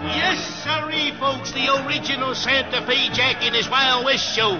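An actor playing a bombastic Wild West show barker in an old radio drama, declaiming a grandiose spiel. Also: male voice, very high-pitched, little pitch movement, normal speed, loud music behind the voice. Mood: bombastic, excited. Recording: dull old radio recording.

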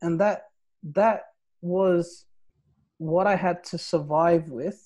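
A man's voice: sits high, fairly even intonation, slow pace, darkish tone. A man from Nepal in his thirties, a podcast guest telling his own story in casual talk, leaning on "right?" and "like, you know".

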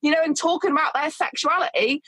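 Passionate woman advocate with a high, loud voice, recorded dry. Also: fast, dry recording. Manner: earnest, outspoken, loud voice. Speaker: asexuality advocate. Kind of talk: passionate interview talk.

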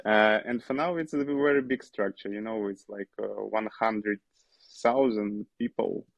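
Halting Ukrainian-accented man, gravelly low voice. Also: steady tone, no room sound. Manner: halting, many fillers, searching for words. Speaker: soldier, platoon commander. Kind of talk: interview answers in a second language.

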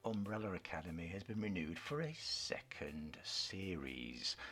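A man's voice: low voice, animated, unhurried, a bit bright, husky, soft background music. A middle-aged British man co-hosting a film show, chatting casually and giving blunt, dry opinions. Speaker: co-host of a film review show. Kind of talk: casual film news chat.

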